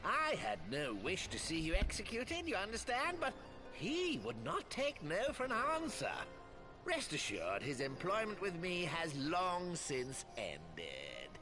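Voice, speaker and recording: An actor voicing a smug, scheming wealthy merchant lord in a video game, with pompous, mock-courteous, drawn-out delivery. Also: male voice, high-pitched, huge pitch swings, exaggerated voice. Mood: smug, calculating. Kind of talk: video game cutscene acting. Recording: game audio with music.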